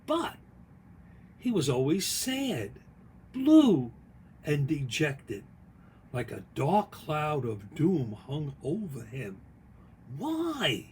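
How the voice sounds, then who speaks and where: A man reading their own picture book aloud in an expressive, folksy way, with chatty asides along the way. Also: on the high side, a little hiss or hum. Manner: folksy, expressive, pausing to comment on the story.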